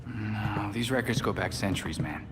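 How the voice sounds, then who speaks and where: A man's voice: lowish voice, normal speed, close to an everyday voice. An actor voicing a blunt, cautious young male vigilante. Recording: cutscene with reverb and noise.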